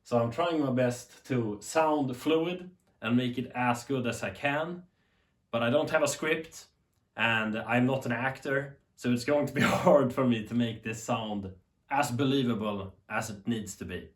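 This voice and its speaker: A young man with a Swedish accent talks off the cuff in a roomy, echoing space. He rambles earnestly, correcting himself as he goes, at a middle pitch. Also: unhurried. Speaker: tech YouTuber. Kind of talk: unscripted talk to camera. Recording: echoey room.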